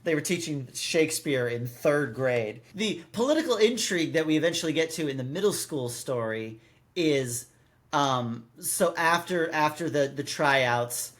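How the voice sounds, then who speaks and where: Halting, rambling man with a slightly high voice. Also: animated, a bit bright. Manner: rambling, halting, often restarts sentences mid-thought. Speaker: podcast host. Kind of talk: casual chat reviewing a radio drama.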